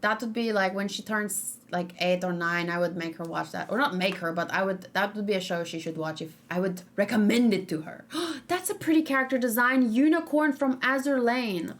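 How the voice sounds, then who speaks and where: A woman's voice: medium pitch, normal speed, few pauses, a little hiss or hum. A young woman streaming and reacting live, chatting casually, reading viewer comments aloud and rambling with frequent fillers. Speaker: streamer reacting to game character.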